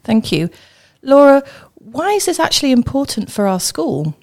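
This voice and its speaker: A woman's voice: high voice, big pitch swings, normal speed, a little mellow. A woman, a teacher, hosting an interview podcast. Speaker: host, school teacher.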